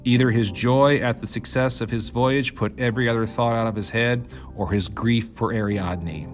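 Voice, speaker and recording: A man reading a mythical story aloud as scripted narration, in a measured, dramatic storyteller's manner with emphatic phrasing. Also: mid-range voice, steady tone, soft background music. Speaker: reader, narrator.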